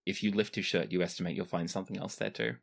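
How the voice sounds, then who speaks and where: A game master improvising casual, descriptive narration for a tabletop game, pausing for effect. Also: low voice, vocal fry, slightly rough. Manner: animated, descriptive, pauses for effect.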